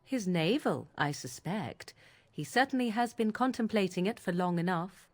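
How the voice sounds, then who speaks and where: A woman's voice: mid-range voice, expressive, moderate pace, a little mellow, lightly acted. An actress playing a haughty, cutting young witch in a fantasy video game, archly formal and full of mocking disdain. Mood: sardonic.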